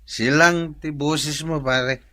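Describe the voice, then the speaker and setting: A man's voice: a little high, steady tone, takes it slowly, bright, forceful tone, no echo. A man playing a pushy, teasing drinking buddy in a comedic radio drama, insistent and repetitive, with an Ilocano accent.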